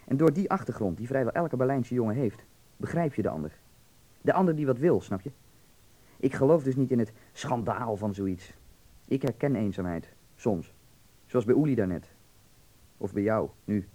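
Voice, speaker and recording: A man's voice: mid-range voice, lively intonation, moderate pace, mellow, close to an everyday voice. An actor playing a worldly young man from Berlin in a radio drama, candid and insistent yet reassuring, explaining patiently and earnestly.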